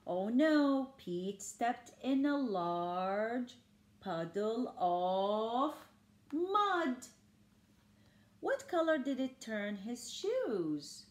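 A woman's voice: a little high, very animated, very slow. An older woman reading a picture book aloud to young children in an exaggerated, sing-song manner with questions for them. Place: indoors in a living room. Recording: roomy home recording.

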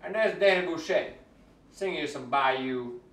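Animated man reading aloud, echoey. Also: fairly high pitch, unhurried, a little hiss or hum. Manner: exaggerated, playful delivery. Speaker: storyteller reading a picture book aloud. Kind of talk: theatrical storybook reading in Cajun dialect. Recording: echoey room, distant mic.

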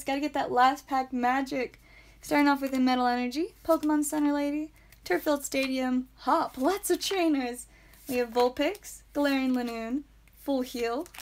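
A woman hosting a live card pack-opening stream, chatting playfully and saying sound effects aloud, with a calming voice. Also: high voice, a little hiss or hum. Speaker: trading card stream host. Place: indoor room with whiteboard.

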